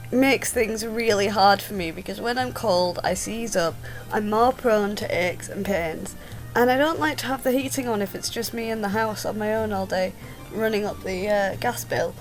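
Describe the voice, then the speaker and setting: Creaky young woman with a sore throat. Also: on the high side, rough recording. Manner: chatty, rambling, informal. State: sore throat, coming down with a cold. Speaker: vlogger. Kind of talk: casual chat to camera.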